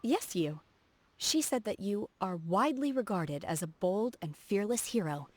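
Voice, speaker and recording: A woman voicing a reserved, earnest, socially awkward young female martial disciple in a video game, speaking in a formal, measured way. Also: mid-range voice, animated, a little mellow. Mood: gently amused. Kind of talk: video game character voice acting. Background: background music.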